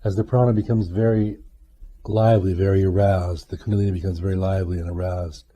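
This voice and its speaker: Male meditation guide: lowish pitch, very mellow and dark tone, measured phrasing with deliberate pauses. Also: flat delivery, breathy edge, highs cut off. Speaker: meditation teacher.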